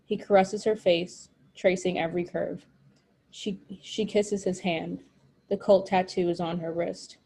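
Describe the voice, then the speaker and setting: Mellow-voiced woman reading stage directions. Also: on the low side, steady tone, unhurried, gravelly, slightly rough, low-quality recording. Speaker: script narrator at a table read.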